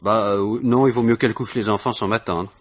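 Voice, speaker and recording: Actor as a grumbling, put-upon police inspector. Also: male voice, on the low side, steady tone, brisk, lightly acted. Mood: businesslike. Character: put-upon family-man police inspector, reluctant to work late. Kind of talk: 1950s French radio crime drama acting.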